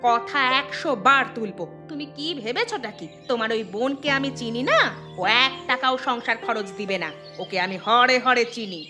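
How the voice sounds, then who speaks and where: A woman voicing a suspicious, sharp-tongued village wife in a cartoon, with a scolding, sarcastic manner. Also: on the high side, normal speed, faint music behind. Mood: angry, scornful. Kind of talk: Bengali cartoon voice acting.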